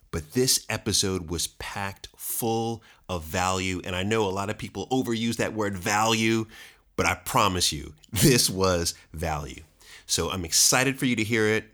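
Host, male, very low voice, earnest, upbeat delivery. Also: a bit bright. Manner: upbeat promotional intro, earnest. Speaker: podcast host. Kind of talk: podcast interview.

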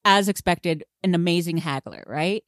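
A woman co-hosting a podcast, chatting about a film in an animated way and laughing often. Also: lowish voice, moderate pace, dry recording, studio quality. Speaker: podcast co-host. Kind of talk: casual film discussion.

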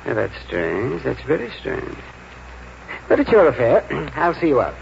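An actor playing a businesslike, impatient art gallery owner in a 1940s radio drama, matter-of-fact and probing. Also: male voice, mid-range voice, huge pitch swings, a little slow, vocal fry, exaggerated voice. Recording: muffled vintage radio recording, faint noise.

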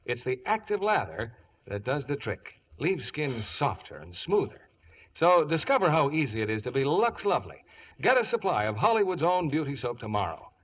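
A man's voice: medium pitch, a bit bright, slightly rough. An American man announcing a scripted 1950s radio advertisement. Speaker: commercial announcer.

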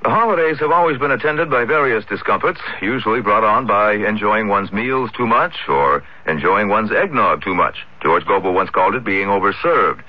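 A man's voice: on the low side, expressive, moderate pace, hardly any pauses, slight background noise. A man with an American accent reading scripted news as a network radio anchor. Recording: dull old radio broadcast.

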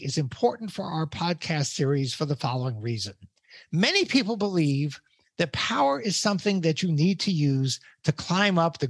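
An older man, a professor hosting a podcast, introducing and questioning a guest in a deliberate, emphatic way. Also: a little high, dry recording, clean studio sound. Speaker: podcast host.

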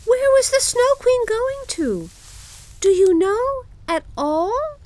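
Woman playing Gerda, a high, plaintive young girl. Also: sing-song, slow pace, a little mellow, heavily acted, low-quality recording. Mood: urgent. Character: gentle, anxious young girl Gerda, pleading tearfully.

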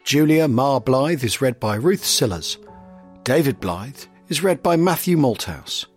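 Genial male host with a lively voice. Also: mid-range voice, measured pace, breathy edge, faint music behind. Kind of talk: scripted narration.

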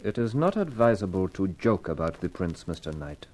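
A prince's formal Middle Eastern aide in an old radio mystery. The voice is lowish and very melodic, courteous yet humourless, with measured wording. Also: male voice, big pitch swings, darkish tone. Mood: solemn instruction. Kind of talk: 1950s radio drama acting.

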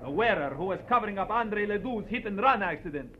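An actor playing a murderous, half-crazed ballet dancer with theatrical menace in a 1940s radio melodrama. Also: male voice, high-pitched, lively intonation, fast talker. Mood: menacing, bitter. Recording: muffled, noisy old radio recording.

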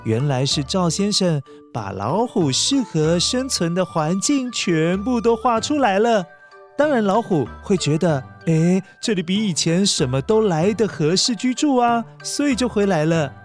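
A woman tells a children's story. Her delivery is expressive and aimed straight at young listeners, with faint music underneath. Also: mid-range voice, a little slow. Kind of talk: scripted children's story narration.

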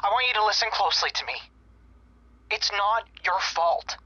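Young guy call center rep, patient n a bit guarded, husky, dull phone sound, audio drama. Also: high-pitched, normal speed, sharp tone, full character voice. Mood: urgent reassurance. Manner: soothing, earnest, hesitant when personal. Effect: telephone filter. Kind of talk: naturalistic audio drama acting. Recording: dull telephone-filtered sound.

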